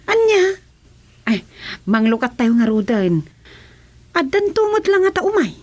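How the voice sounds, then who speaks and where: A lively Ilocano-accented daughter in a radio comedy serial. Her voice is fairly high and swings widely up and down as she banters. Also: a little slow. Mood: teasing. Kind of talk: Filipino radio comedy-drama acting.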